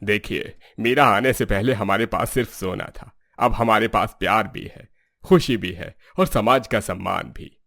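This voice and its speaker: Kindly older family patriarch, played by a man, low and reflective, dry recording, storybook drama. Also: measured pace, gravelly, fairly bright tone, no room sound, exaggerated voice. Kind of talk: dramatized moral story acting.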